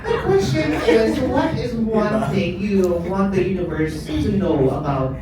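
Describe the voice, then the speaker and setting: A woman's voice: medium pitch, lively intonation, measured pace, a loud crowd. A woman asking a pageant contestant questions in an effusive, giggly way at a press event. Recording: very echoey room, distant mic, loud background noise.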